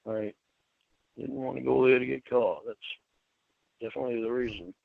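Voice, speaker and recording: A man's voice: medium pitch, normal speed, vocal fry, no room sound, phone-quality sound. A man answering questions under interrogation in short, conceding replies. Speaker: person being questioned.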